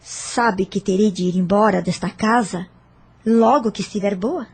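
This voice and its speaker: A woman's voice: medium pitch, a little slow, gravelly, a little mellow, breathy edge, heavily acted. An actress playing a bitter, vengeful woman who threatens and taunts a former lover, in an audio drama. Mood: taunting. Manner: threatening, spiteful, scornful.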